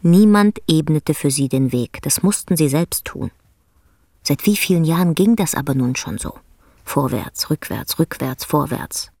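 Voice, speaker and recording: An actress as a grave, contemplative storyteller, narrating a radio play in a husky, breathy voice. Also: female voice, low-pitched, lively intonation, unhurried, darkish tone, no echo, studio quality, exaggerated voice. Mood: grave, reflective.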